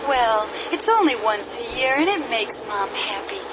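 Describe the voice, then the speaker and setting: A woman's voice: on the high side, big pitch swings, moderate pace, background noise. An actress playing an anxious young woman, nervous and pleading, in a radio drama. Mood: uneasy. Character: young woman, anxious sister, easily frightened. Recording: dull radio drama with music.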